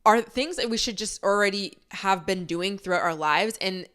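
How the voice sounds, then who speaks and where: A woman's voice: mid-range voice, moderate pace, a bit bright. A young woman in her twenties hosting a podcast, giving a candid, casual motivational pep talk full of rhetorical questions and the odd swear word. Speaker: podcast host.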